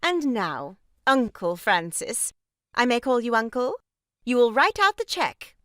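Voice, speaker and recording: A pompous, aggrieved busybody in an audio farce. She declaims in a high, very animated voice, turning each reproach into grand melodrama. The sound is close and dry. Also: unhurried, dry recording. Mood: brisk, condescending. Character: meddling, theatrically aggrieved sister-in-law, grandly moralizing woman. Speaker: voice actor. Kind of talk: stage farce audio drama acting.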